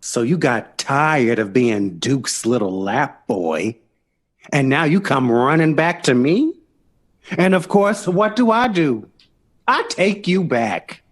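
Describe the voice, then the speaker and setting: A man's voice: medium pitch, very animated, takes it slowly. An actor playing a proud, wounded male lover and performing artist in a period audio drama. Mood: hurt pleading.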